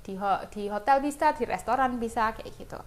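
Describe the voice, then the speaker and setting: A woman's voice: on the high side, brisk. A woman with an Indonesian accent teaching an English lesson informally, explaining example phrases and talking directly to students.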